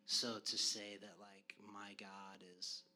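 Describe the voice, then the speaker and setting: A man's voice: on the low side, monotone, slow, sharp tone. A man hosting a podcast, talking earnestly in a loose, rambling way full of fillers. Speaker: podcast host. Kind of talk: casual conversation.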